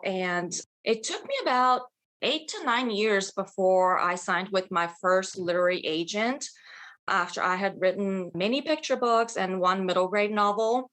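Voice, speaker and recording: A woman's voice: mid-range voice, moderate pace, fairly bright tone. Bulgarian-born woman author, enthusiastic. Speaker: children's author, guest. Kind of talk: interview answers, storytelling.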